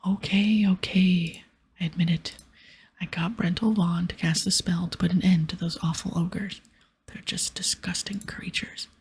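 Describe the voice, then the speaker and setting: A self-justifying wizard trapped as an ogre in a fantasy game, whispered by a woman. The voice is hushed and extremely breathy with a creaky edge, moving between pleading and dry explanation. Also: fairly low pitch, fairly even intonation, a little slow, exaggerated voice. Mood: grudging confession. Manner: pleading, then dryly explanatory.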